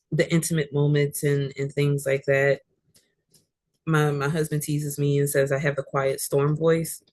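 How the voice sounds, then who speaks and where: A woman with a deep voice, an author chatting as a guest about how she uses her voice, talking thoughtfully with frequent fillers. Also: a little mellow, no room sound. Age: adult. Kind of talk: casual chat about voice and reading.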